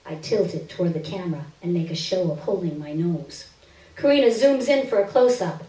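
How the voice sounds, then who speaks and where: A woman giving an expressive, theatrical reading of a first-person short story on a stage. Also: lowish voice, a little fast. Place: live stage reading indoors. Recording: roomy stage, distant microphone.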